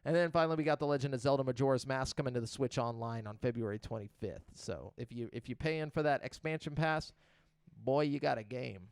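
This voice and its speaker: A man's voice: mid-range voice, quick pace, a little mellow, smooth, no echo. A man hosting a casual podcast, reading game release news in a loose, profane, joking way and stumbling over names. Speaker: podcast host.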